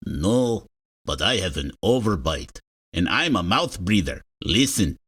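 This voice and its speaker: A woman voicing a polite, bookish male monster in a children's storybook, with a low, breathy, formal voice. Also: big pitch swings, a little slow, heavily acted. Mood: earnest. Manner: formal and mannered. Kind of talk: children's storybook read-aloud.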